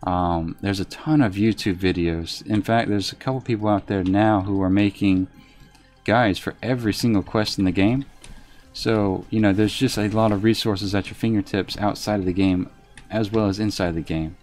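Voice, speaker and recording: A soft-spoken man with a lowish voice talks through a game on a live stream, reserved and unhurried. He chats with viewers between tasks, with faint game music underneath. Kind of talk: casual gameplay commentary, reading chat.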